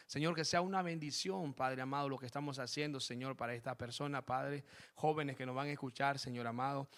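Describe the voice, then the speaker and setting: A man's voice: medium pitch, a bit bright. A man who is a pastor hosting a church program, praying and interviewing guests with fervent blessings. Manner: fervent, many amens and blessings. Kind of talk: preaching interview with spoken prayer. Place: church program set in Spain.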